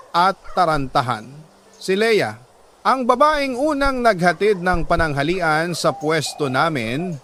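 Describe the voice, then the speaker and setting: A man narrating as a 46-year-old working man who tells his life story, earnest and solemn, with dramatic pauses in a scripted delivery. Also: a little high, fairly bright tone, a little hiss or hum. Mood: tender, wistful. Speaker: storyteller narrating a listener's letter.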